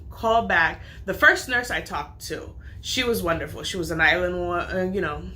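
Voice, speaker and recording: A woman sits telling her story straight to her viewers, her voice deep for a woman yet bright and forward. She rambles in a chatty way, replaying each conversation with a quick "I'm like" and "she's like.". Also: a little hiss or hum. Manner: chatty, retells talks with "I'm like" quotes. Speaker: vlogger and nursing student. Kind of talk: storytime retelling.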